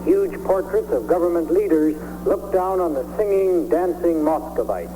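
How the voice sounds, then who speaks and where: A man's voice: fairly high pitch, moderate pace, mellow, slight background noise. A man filing a scripted, descriptive dispatch as a foreign correspondent for 1960s radio. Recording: reverberant, humming 1960s radio relay.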